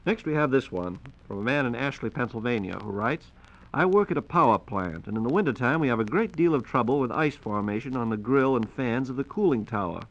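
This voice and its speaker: A man's voice: medium pitch, lively intonation, fairly quick. An American man hosting a 1940s radio program with polite, formal, scripted announcing. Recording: dull, band-limited 1940s radio transcription disc.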